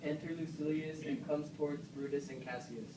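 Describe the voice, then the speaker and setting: A man narrates an amateur puppet play by reading stage directions. His voice is a little high. The room is echoey, with noticeable background noise. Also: fairly even intonation, moderate pace. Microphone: distant camera microphone. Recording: echoey noisy room, far from mic.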